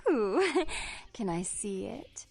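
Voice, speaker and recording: Young female game vampire, high bright voice, brazen, petulant, faint music behind. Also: sing-song, drawn-out words, vocal fry, full character voice. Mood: teasing, mocking.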